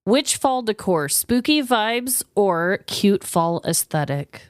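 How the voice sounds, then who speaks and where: An expressive woman chats casually as a podcast co-host, with pitch that moves up and down in a lively way. Her voice is clean and smooth, recorded dry and close. She is playful, emphatic and loose with swearing. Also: slow pace, no echo. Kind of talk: casual bantering chat.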